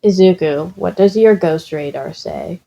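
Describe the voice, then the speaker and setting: Storybook role: nonchalant, calm schoolboy done by a woman, mellow, lowish, dry deadpan. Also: unhurried, gravelly. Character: calm, deadpan, unflappable teenage boy.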